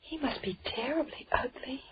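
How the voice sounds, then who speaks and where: High-pitched, timid, girlish young woman. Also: lively intonation, moderate pace, whispered. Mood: frightened. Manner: hesitant, anxious, naive. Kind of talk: 1930s radio thriller acting. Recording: muffled 1930s radio recording.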